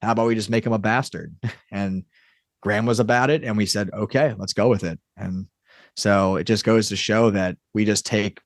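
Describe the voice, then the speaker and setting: A man's voice: on the low side, fairly even intonation, moderate pace, no echo, studio quality. A man speaking casually and explaining things as an interview guest who creates audio drama.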